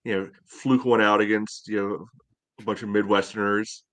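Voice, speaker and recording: A man with a fairly low voice talks sports as a podcast panelist. His delivery is conversational, dry and sardonic. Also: fairly even intonation, moderate pace, a bit bright. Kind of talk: casual sports chat.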